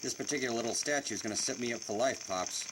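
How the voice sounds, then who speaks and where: A man's voice: medium pitch, fast talker, lightly acted. Man acting a menacing New mobster, hissy recording. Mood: cocky. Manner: slangy, wisecracking, menacing. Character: tough, sneering 1940s New York mobster with a gun. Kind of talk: 1940s radio crime drama acting. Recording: noisy recording with sizzling hiss.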